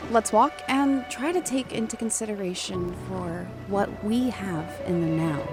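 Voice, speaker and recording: An actress playing a devoted, headstrong biologist on an oil rig in an audio drama, emotional and earnest. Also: female voice, medium pitch, measured pace, natural voice. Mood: caring. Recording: music under the voice.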